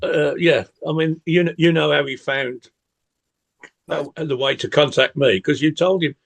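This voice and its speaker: An older man talks casually as a podcast panellist. His voice is fairly high and full of creak, and he speaks haltingly, repeating words, in a dry recording. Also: steady tone, dry recording. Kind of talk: casual chat.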